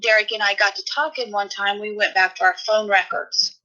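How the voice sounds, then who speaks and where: A woman's voice: mid-range voice, fairly quick, bright, forceful tone. A woman giving a conversational account in an interview as a relative, on a lo-fi clip.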